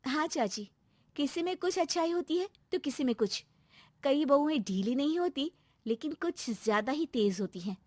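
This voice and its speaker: A woman playing a polite, dutiful, quietly sharp young daughter-in-law in a cartoon family drama. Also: high-pitched, animated, moderate pace, no room sound, pitched above the performer's own voice. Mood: pointed, teasing.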